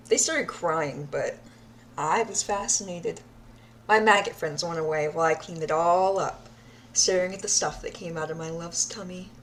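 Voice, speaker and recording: A woman's voice: fairly low pitch, a bit bright, a little hiss or hum. A woman playing a deranged, obsessive yandere captor in an audio roleplay diary, sadistic yet childlike, speaking in a dreamy, intimate manner and lingering on words. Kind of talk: audio roleplay monologue, recorded diary confession.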